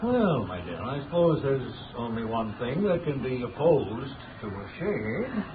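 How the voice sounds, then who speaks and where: A suave, unhurried gentleman sleuth. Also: medium pitch, very animated. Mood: wry, ominous. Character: suave, knowing male amateur sleuth. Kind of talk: 1930s radio drama acting. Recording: scratchy, tinny 1930s radio.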